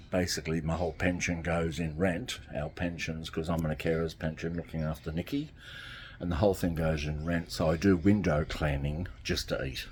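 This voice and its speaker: A man's voice: deep voice, slight background noise. A man, talking casually to camera from outdoors by a garden, rambling and repeating himself. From Australia. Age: 60s. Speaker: vlogger.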